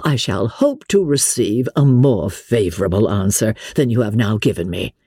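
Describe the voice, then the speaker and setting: Female reader as pompous male suitor, classic novel audiobook, self-satisfied, unctuous, mellow tone, very animated pitch. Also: moderate pace, breathy edge, no room sound, studio quality, full character voice. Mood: pompous, undeterred. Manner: pompous, long-winded, unctuous.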